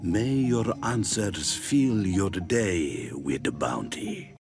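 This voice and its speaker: A stern village sage in a fantasy game. He speaks very slowly in a deep voice, solemn and full of disdain. Music plays behind him. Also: lively intonation, heavily acted. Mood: scornful. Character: stern, scornful wise man of a native village. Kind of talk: video game character acting. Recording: music under the dialogue.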